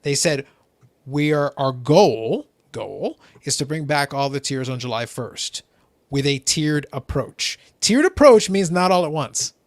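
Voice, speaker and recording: A man hosting a casual hobby talk show, explaining in an animated way, often repeating a phrase as he starts. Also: a little high, unhurried, no room sound. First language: not English. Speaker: podcast host.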